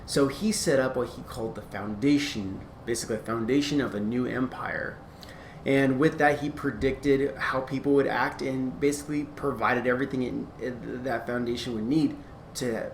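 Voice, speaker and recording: Man explaining casually in an echoey room. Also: mid-range voice. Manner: halting, informal, rambling delivery with frequent self-corrections. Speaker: fan-theory video creator. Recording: echoey room, clear background noise.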